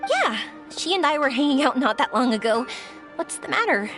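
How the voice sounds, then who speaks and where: An eager, kind young heroine from an anime-style game. Her voice is high and very animated, with a polite, encouraging manner. Music is clearly heard behind her. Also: normal speed. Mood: concerned, reassuring. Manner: bright-spirited, polite, encouraging. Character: cheerful, kind young woman, eager and optimistic. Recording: background music over the voice.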